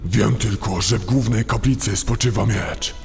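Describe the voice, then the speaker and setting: Man playing a gravelly, grave orc shaman. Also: lowish voice, normal speed. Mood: grave, foreboding. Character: grave orc shaman and seer serving the warlord. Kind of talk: fantasy audio drama acting. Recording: hall reverb, faint music.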